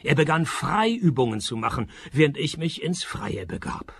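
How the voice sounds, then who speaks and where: An actor playing a sardonic, world-weary private detective in a radio play, deadpan and dry, with a raspy, gravelly voice. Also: male voice, on the high side, moderate pace, full character voice. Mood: deadpan narrating. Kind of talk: radio play dialogue and deadpan first-person narration.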